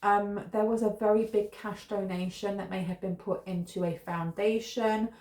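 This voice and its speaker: A woman's voice: medium pitch, fairly even intonation, normal speed, a little mellow. A British woman giving a casual tarot reading to camera, thinking aloud in a meandering, musing way with fillers. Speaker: tarot reader. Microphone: camera close in front. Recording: echoey room.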